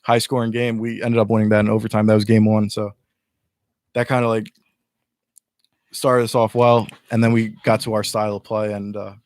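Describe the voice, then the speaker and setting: A young man with a lowish voice talks earnestly and at length as a guest, stumbling and restarting as he explains. The recording is close and dry, with no room sound. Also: little pitch movement, a little fast, pauses often, a little mellow, no room sound. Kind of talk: casual sports chat.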